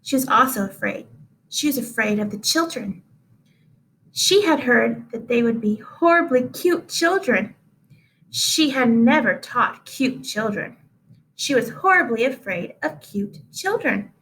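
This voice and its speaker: A woman reading a children's book aloud as an expressive storyteller. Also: fairly high pitch, measured pace, vocal fry, slight background noise, low-quality recording. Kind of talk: expressive read-aloud narration.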